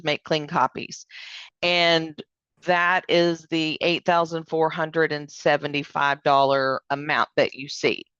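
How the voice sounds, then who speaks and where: A woman, hoarse from allergies, explaining a proposal step by step over a video call. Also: fairly low pitch, fairly bright tone, no room sound, dull audio. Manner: explanatory, step-by-step, uses fillers. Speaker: code publishing vendor presenting remotely. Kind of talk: remote business presentation.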